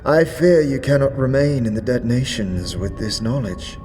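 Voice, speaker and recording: A man's voice: medium pitch, animated, moderate pace, a little mellow, faint music behind, voice pushed up, lightly acted. A man voicing a solemn undead male ruler who speaks formally, with archaic thee and thou. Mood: grave.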